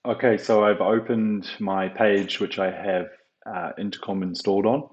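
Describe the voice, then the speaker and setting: A man presenting a software tutorial as an unscripted screen-share walkthrough, halting often with ums and uhs. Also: fairly low pitch, steady tone. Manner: hesitant, halting explanation with frequent ums and uhs. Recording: roomy, echoing, slightly dull sound.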